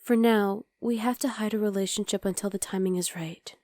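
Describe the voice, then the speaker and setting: Woman doing a sweet young demon gf for asmr roleplay, medium pitch, soft and playful, super dry close mic. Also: steady tone, a little fast, no room sound, close to an everyday voice. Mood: worried, regretful. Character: affectionate young demon girlfriend, daughter of underworld leader. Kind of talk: ASMR roleplay, intimate one-sided dialogue to listener.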